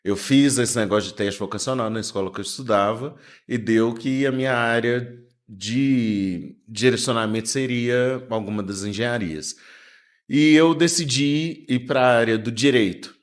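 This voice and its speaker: A man's voice: mid-range voice, normal speed. A man, a lawyer guest telling family stories in an easygoing, humorous way. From born in Venezuela, raised in inland Minas Gerais, Brazil. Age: 40s. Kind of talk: casual interview storytelling.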